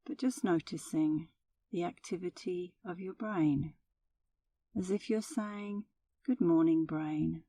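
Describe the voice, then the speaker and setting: Female meditation guide: lowish, warm and relaxed voice, unhurried and soothing, gentle instructional narration. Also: no echo, far from the mic.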